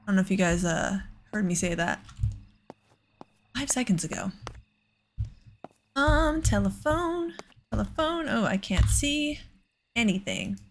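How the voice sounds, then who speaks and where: A woman's voice: medium pitch, big pitch swings, measured pace, long pauses, a little hiss or hum. A woman hosting a casual let's play, with an exasperated, sarcastic way of talking. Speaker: gaming host.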